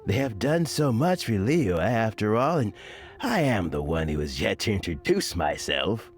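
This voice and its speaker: Game elder: modest, dignified old man, unhurried, hugely varied intonation, faint music underneath. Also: lowish voice, sing-song. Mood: discreet, gentle. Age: older. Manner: courtly, unhurried, theatrical. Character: genial, wordy old gentleman, dignified yet modest. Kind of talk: video game character acting.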